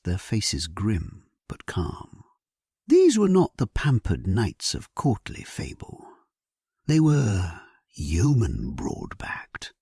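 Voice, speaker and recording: Deep, slow, breathy male storyteller. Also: no room sound, clean studio sound. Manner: solemn, measured, hushed storytelling. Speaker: audio story narrator. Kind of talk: first-person scripted narration for a sleep story.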